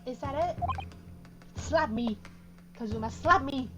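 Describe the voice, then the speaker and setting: Loud game music plays under a woman with a high-pitched voice. She is streaming a playthrough from home, reading the on-screen dialogue aloud. Her delivery is informal and reactive, as if she is chatting to herself. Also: a little slow. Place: bedroom at home.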